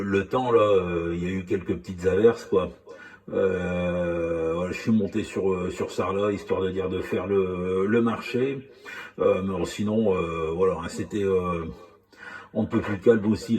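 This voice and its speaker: A man in a casual radio chat as a hobby CB operator, speaking haltingly and often repeating words. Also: low voice, a little mellow.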